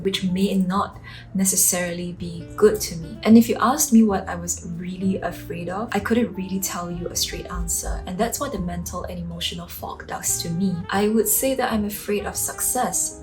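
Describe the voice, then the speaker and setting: A woman's voice: mid-range voice, a little mellow. A woman in her late twenties from Singapore, earnestly sharing personal reflections in a vlog. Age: late 20s. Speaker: vlogger. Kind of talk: reflective personal talk to camera.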